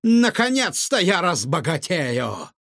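A man voicing a greedy, defiant female swindler who shrieks in a high voice, in a dry audiobook recording. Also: sing-song, slow pace, vocal fry, fairly bright tone, no echo, exaggerated voice. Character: greedy shrill female swindler, ex-fortune-teller.

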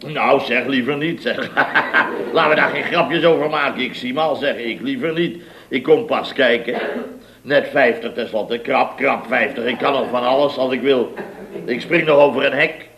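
Actor as blustering middle-aged boss with audience behind. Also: male voice, mid-range voice, a crowd in the background, lightly acted. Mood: boastful. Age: 50s. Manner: blustery, halting, repeating himself. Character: blustering, boastful fifty-year-old male builder boss. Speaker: boss of a building firm in a radio comedy serial. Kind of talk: 1970s radio comedy acting. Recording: old radio comedy with audience sound.

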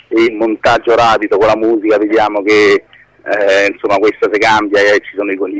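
Screenwriter interviewee: male, Roman Italian accent, lowish voice, colloquial and rambling, with a dry sound. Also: no echo, low-quality recording. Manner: hesitant, rambling, self-correcting, colloquial. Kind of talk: casual, unscripted interview talk.

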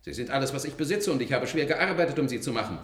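A man plays a male robot in a science-fiction radio play. He is loyal and deferential yet stubbornly protective. His mid-pitched delivery swings in lively intonation. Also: fast talker, natural voice. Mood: firm, protective. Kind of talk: German science-fiction radio drama acting.

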